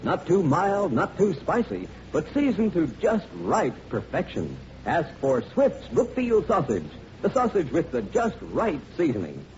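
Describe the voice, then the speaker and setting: A dull vintage radio recording with faint hiss presents a male announcer. His fairly high voice swings widely as he delivers cheerful, emphatic 1940s sales patter. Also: huge pitch swings, measured pace, hardly any pauses, darkish tone.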